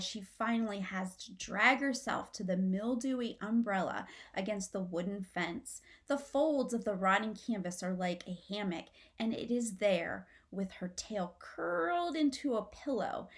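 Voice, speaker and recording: A woman's voice: medium pitch, measured pace, few pauses. A middle-aged woman reading a children's story aloud expressively, like a teacher, in a room at home. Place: small furnished room at home, indoors.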